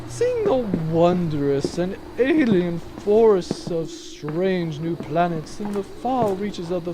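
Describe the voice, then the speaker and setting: A woman's voice: mid-range voice, very noisy. Woman playing a haughty, swooping space noblewoman. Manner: theatrical, pompous, languid drawl. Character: bored, cruel, haughty aristocratic noblewoman from space. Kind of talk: comedic sci-fi audio drama acting.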